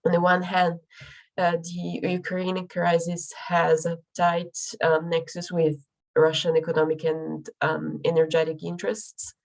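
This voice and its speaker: A woman's voice: lowish voice, completely flat delivery, measured pace, gravelly, poor audio. A young woman presenting her research, her voice affected by a cold, speaking carefully with frequent ums and restarts. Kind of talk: academic presentation.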